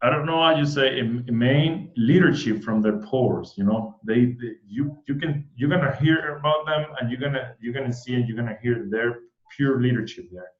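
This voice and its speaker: A man from Puerto Rico with a Puerto Rican Spanish accent, Spanish his first language, hosting an online event in an eager, excited way, often repeating words and restarting. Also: medium pitch, moderate pace, hardly any pauses, reverberant, dull audio. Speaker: host.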